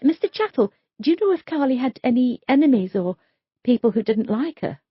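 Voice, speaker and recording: A woman's voice: sits high, very animated, brisk, breathy edge, no room sound, muffled recording, voice pushed up, full character voice. A woman voicing a polite, unsure young policewoman who talks in a placating, hesitant way. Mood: questioning.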